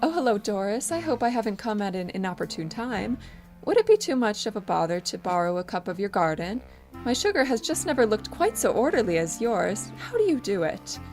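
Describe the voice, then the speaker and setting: A young woman playing a polite, awkward married housewife with a sly streak in an amateur audio drama, speaking in a halting, nervous way. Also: medium pitch, big pitch swings, darkish tone, soft background music. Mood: flustered, polite. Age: young adult.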